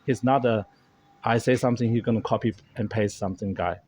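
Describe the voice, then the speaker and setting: A man's voice: lowish voice, fairly even intonation, brisk, darkish tone, slight background noise. A man whose first language is German, hosting and talking casually to a camera held by a friend in a café, explaining earnestly with frequent restarts. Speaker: host.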